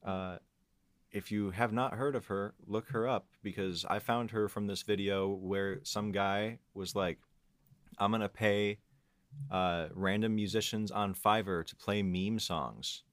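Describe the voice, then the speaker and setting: A man with a fairly deep voice talks casually as a podcast guest. His voice is recorded dry, with hardly any room sound. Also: fairly even intonation, a little slow, fairly bright tone, no echo.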